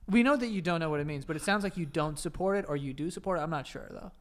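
Teasing man with a slightly high voice. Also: racing. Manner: teasing, blunt, swearing. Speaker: podcast co-host. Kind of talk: casual comedic banter. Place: indoor podcast studio. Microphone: close desk-mounted studio microphone.

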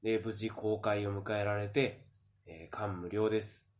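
A man's voice: lowish voice, fairly even intonation, a little slow. A man giving a polite, earnest thank-you announcement as the director of a drama troupe. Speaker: troupe director and writer giving closing address.

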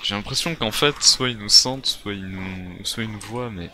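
A man's voice: on the low side, normal speed. A man improvising in-character survival gameplay commentary, thinking aloud in a hesitant, repetitive way. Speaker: gaming video creator playing a survival roleplay. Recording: clearly noisy gameplay recording.

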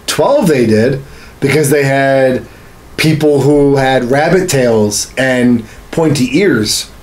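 A man's voice: mid-range voice, slow, a little mellow, low-quality recording. A man in his thirties chatting casually about games as a podcast co-host, with a crude, joking, profane manner. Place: small home studio room.

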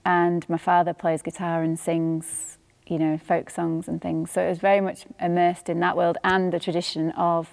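A woman in her thirties with a Yorkshire accent, chatting in a relaxed, thoughtful way as an interview guest on a lapel mic in a studio hall. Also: on the low side, normal speed, vocal fry. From born in Northern Ireland, raised in England. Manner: relaxed, thoughtful, laughs easily. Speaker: guest musician. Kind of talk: casual interview chat. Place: studio hall with a grand piano.

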